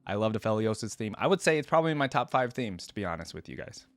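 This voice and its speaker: A man's voice: on the low side, very animated, fast talker, dry recording. A man giving casual, conversational reaction commentary in an informal, enthusiastic way. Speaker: reaction video host. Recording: music playing behind the voice. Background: song being reacted to.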